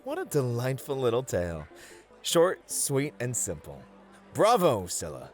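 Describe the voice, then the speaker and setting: A man playing a charming, flirty club host and entertainer in an ASMR roleplay, with a florid, theatrical, flattering manner. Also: mid-range voice, a little slow. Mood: amused. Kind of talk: scripted ASMR roleplay, acted. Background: background music.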